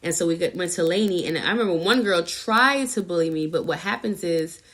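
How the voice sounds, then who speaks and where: Crisp-spoken Trinidad-born woman. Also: on the low side, brisk, a bit bright. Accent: light New York. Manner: crisp, enunciated, news-reporter-like delivery. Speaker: host, mother of co-host. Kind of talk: casual chat.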